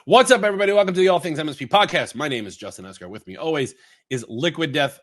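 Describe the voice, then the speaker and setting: A man from Long Island, co-hosting a podcast in rambling, animated casual chat. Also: on the high side, very fast, faint music behind. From Long Island, New York. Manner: rambling, animated storytelling with fillers and asides. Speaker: podcast co-host.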